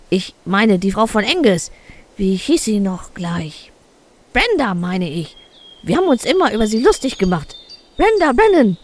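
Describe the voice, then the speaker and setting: A woman playing a chatty, nostalgic elderly wife in an audio drama, rambling as she reminisces. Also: medium pitch. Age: older. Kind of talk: German audio drama acting.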